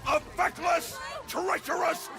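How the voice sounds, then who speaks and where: Blustering high-pitched newsman. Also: expressive, takes it slowly, crowd noise, full character voice. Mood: indignant. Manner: bombastic, theatrical. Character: blustering, indignant older male newsman ranting. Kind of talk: video game cutscene acting. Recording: game audio under loud music.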